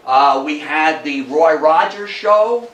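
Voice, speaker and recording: An older man with an American accent gives an informal talk in a folksy, emphatic storytelling manner. His voice sits a little high for a man. It is picked up from a distance in a roomy space with faint noise. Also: animated, slow pace, fairly bright tone, muffled recording. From United States. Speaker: guest lecturer on old-time radio history. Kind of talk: informal public lecture with anecdotes. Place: indoor talk to a live audience at a historical society.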